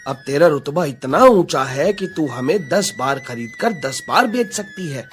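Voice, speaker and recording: A man plays a remorseful brother in a cartoon moral tale. His slightly high voice pleads with plenty of drama, music playing softly behind him. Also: animated, unhurried. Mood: remorseful, pleading. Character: repentant adult brother begging forgiveness. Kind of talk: Hindi moral-story cartoon dubbing.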